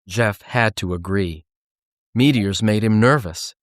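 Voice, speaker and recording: A man narrating a children's novel as an audiobook, with expressive storytelling delivery. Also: on the low side, slow pace, dry recording, clean studio sound.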